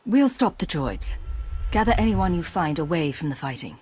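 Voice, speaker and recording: An actress playing a composed female agent in a video game, speaking in a measured, reassuring way. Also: lowish voice, sing-song, darkish tone, slightly rough. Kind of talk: video game cutscene acting.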